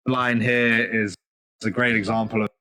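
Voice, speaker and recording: Bright-toned man narrating dating tips. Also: fairly low pitch, steady tone, normal speed. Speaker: dating coach narrating his own video. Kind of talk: voice-over narration.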